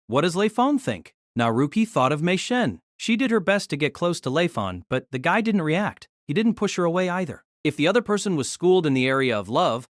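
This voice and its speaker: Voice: medium pitch, no room sound, clean studio sound. A narrator reading scripted third-person story narration for an audio drama.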